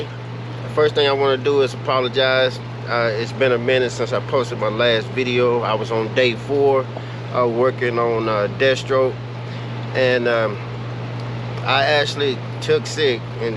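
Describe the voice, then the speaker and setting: Rambling man over a noisy hum. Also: medium pitch. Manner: rambling, many filler sounds and restarts. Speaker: vehicle DIY vlogger. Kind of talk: casual talk to camera. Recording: noisy, with steady hum behind.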